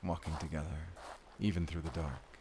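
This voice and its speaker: An actor playing a weary, devoted werewolf hunter in an intimate romantic audio roleplay, speaking haltingly and sincerely with soft sighs. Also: male voice, very deep voice, steady tone, background noise. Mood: tender, reflective.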